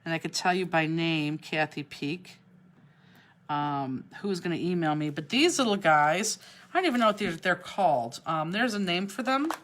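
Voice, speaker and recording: A woman's voice: lowish voice, animated, normal speed, fairly bright tone. Chatty Cleveland woman teaching a craft. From Cleveland, Ohio. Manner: chatty, answers viewer comments while working. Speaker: craft class host. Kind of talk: live online craft demonstration. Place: craft studio, indoors.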